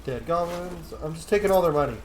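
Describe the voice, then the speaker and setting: A man's voice: on the high side, fast. A man giving casual, joking commentary as he roleplays through a video game. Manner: informal, joking. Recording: roomy echo, faint game noise behind.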